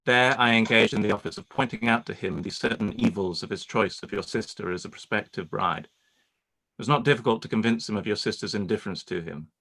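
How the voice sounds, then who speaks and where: An actor playing a proud, reserved young gentleman reading out a formal letter in a measured, stiff manner. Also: on the low side, creaky, rough recording. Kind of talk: live-read radio play.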